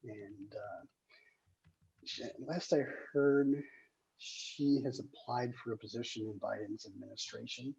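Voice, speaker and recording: A screenwriter hosting casually, talking in a hesitant, rambling way with a husky, gravelly voice over a dull call recording. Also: medium pitch, fairly even intonation, measured pace, a little mellow. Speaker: screenwriter and table read host. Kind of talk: casual chat.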